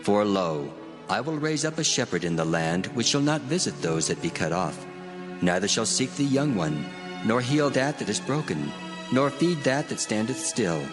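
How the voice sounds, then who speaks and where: Loud music swells under a low male voice the stern God of scripture. He commands with weight and authority, declaiming judgment in a dramatized reading. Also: sing-song, normal speed. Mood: stern, condemning.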